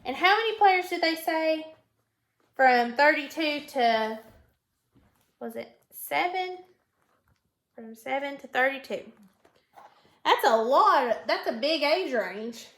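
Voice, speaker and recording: A woman teacher reading a storybook aloud in an instructional way, pausing to put questions to her pupil and repeat answers. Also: high voice, expressive, fairly bright tone. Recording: echoey room.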